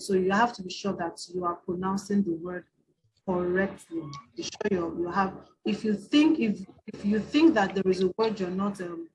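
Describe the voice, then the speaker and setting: A woman's voice: medium pitch, rough recording. Nigerian woman teaching in a webinar. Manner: conversational, frequent tag question "right?". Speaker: IELTS trainer. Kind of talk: webinar lecture.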